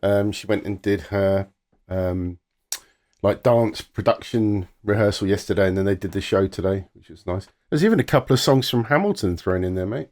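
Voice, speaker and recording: Co-host: easygoing dad, middle-aged, lowish voice, chatty. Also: male voice, moderate pace, darkish tone. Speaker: podcast co-host. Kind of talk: casual chat.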